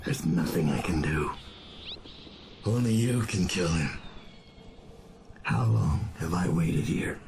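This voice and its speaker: An actor playing a weary, bitter old assassin trapped in limbo in a video game, grim and halting. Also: male voice, deep voice, lively intonation, vocal fry, background noise, heavily acted. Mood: haunted recognition. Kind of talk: dramatic game cutscene acting. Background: loud music.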